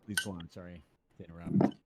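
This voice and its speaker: A man's voice: deep voice, little pitch movement, fast talker, dark tone. A man hosting a podcast stream in casual conversation. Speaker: podcast host. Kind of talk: casual chat. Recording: faint background noise.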